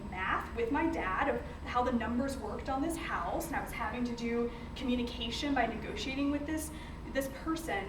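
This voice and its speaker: Higher-voiced American woman presenting in a roomy space. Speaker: company founder presenting to teachers. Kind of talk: informal presentation with personal storytelling. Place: indoor room, speaking to a group. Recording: roomy, distant mic, noisy background.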